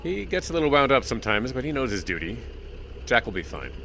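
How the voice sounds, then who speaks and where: An actor playing a steady small-town sheriff with calm, easygoing authority. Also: male voice, medium pitch, fast talker, gravelly, music in the background. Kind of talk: audio drama acting.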